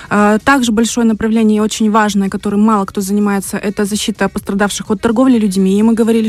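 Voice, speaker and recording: A woman speaking as an interview guest on the radio, explaining things in a measured way. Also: medium pitch, darkish tone. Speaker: guest, representative of a women's aid organisation. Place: radio studio.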